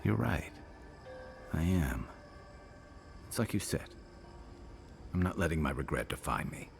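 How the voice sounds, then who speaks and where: An actor playing a weary, loyal, regretful companion in a video game, speaking in an earnest, deliberate, reflective way. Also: male voice, a bass voice, very animated, vocal fry, music in the background, heavily acted. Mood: resolute, warm. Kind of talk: video game dramatic dialogue.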